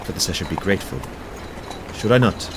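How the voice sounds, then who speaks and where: A man playing a gentle, courteous, lovestruck German professor in an audio play, with a German accent and formal, halting phrasing. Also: deep voice, very animated, slight background noise. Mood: subdued.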